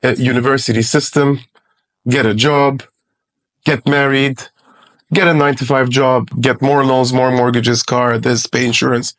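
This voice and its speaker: A man's voice: medium pitch, flat delivery, moderate pace, fairly bright tone. Blunt, profane man in his forties. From Lebanon. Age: 40s. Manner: blunt, profane, emphatic advice. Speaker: guest investor. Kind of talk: casual podcast interview.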